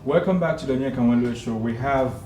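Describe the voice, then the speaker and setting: A man's voice: mid-range voice, fast talker, over loud music. Challenging male talk show host, echoey studio. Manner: teasing, challenging questions. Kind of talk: casual studio interview. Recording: echoey studio with background noise.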